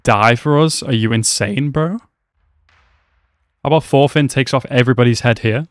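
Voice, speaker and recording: Young guy reacting to anime on a headset mic, chill and sweary, dry close sound, pretty ordinary voice pitch. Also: measured pace, clear, resonant tone, no echo. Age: young adult. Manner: chatty, swears a lot, calls characters bro. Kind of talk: casual reaction commentary. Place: small room at home.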